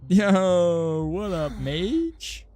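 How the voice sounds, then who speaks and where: A man's voice: high-pitched, very animated, drawn-out words, darkish tone. A man giving casual, slangy live commentary as a game streamer. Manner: informal, slangy, chatty.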